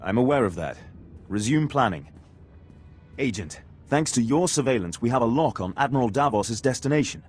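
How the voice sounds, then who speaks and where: A man's voice: medium pitch, lively intonation, moderate pace, darkish tone, soft background music, close to an everyday voice. An actor playing a stern, formal male military commander in a video game, giving briefings with authority.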